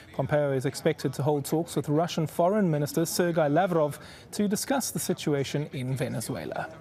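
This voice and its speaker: A man presenting broadcast news as an anchor, in a formal, measured delivery. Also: high-pitched, dark tone.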